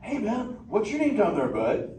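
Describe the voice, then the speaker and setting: A man's voice: sits high, huge pitch swings, normal speed, dark tone. A man voicing a laid-back male surfer sea turtle who drawls slang and teases the audience in a live show. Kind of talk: live interactive theater show. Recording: echoey theater from far off, noisy.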